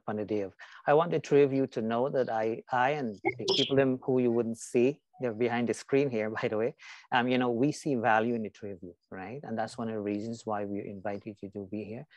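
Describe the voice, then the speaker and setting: A man's voice: lowish voice, a little mellow, no echo. A man hosting an online discussion show, moderating casually in a wordy, appreciative way that often circles back on itself. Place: online video call.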